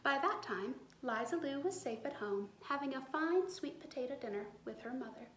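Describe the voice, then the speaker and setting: A woman in her thirties reading a picture book aloud to children with dramatic, drawn-out emphasis. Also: high voice, lively intonation, a little hiss or hum. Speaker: children's storyteller. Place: small bright room indoors. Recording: roomy indoor echo.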